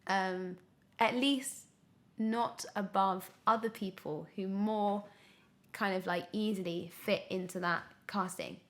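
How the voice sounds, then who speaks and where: A woman's voice: medium pitch, fairly even intonation, slow pace, a bit bright. A young woman speaking candidly and casually as an interview guest, thinking aloud in a hesitant, reflective way. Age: young adult. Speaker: podcast guest, actress.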